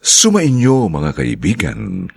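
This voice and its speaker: A man's voice: fairly low pitch, wildly swooping pitch, husky, no echo. A man, the show's radio announcer, formally reading the closing credits of a drama broadcast. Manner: measured, formal.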